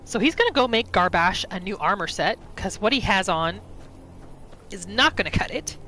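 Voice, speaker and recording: A woman's voice: medium pitch, animated, moderate pace, sharp tone, a little hiss or hum, poor audio. A woman gaming YouTuber giving casual, story-like commentary as she narrates a roleplay playthrough. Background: game music.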